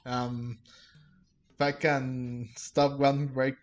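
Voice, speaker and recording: A man doing casual gameplay commentary, thinking aloud in a halting way and sometimes reading game text. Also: mid-range voice, flat delivery, highs cut off. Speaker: game streamer. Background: game music.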